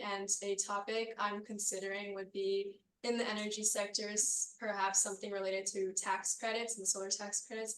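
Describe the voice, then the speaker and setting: A woman in her twenties from Illinois, introducing herself as an exchange student. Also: mid-range voice. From Illinois, United States. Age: 20s. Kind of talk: self-introduction. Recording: distant, echoey classroom.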